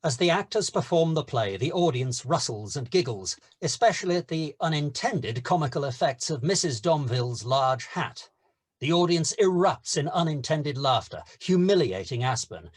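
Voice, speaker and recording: A man narrating the stage directions of a screenplay at a table read, steady and descriptive. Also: a little high, no room sound, studio quality.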